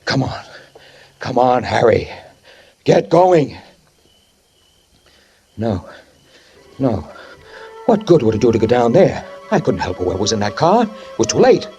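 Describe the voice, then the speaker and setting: An actor playing a guilt-ridden man who fled a fatal crash, narrating in the first person in a 1940s radio drama with tense, halting speech that stammers and repeats. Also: medium pitch, big pitch swings, warm tone, husky, lo-fi sound, full character voice. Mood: shaken. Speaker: narrator and lead character. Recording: old radio recording with music behind.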